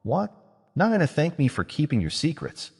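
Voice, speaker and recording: A man's voice: mid-range voice, big pitch swings, brisk, a little mellow, slightly rough, in a large hall. A man voicing a vain, playful witch woman who has been made young again, teasing and self-satisfied. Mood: mock-aggrieved.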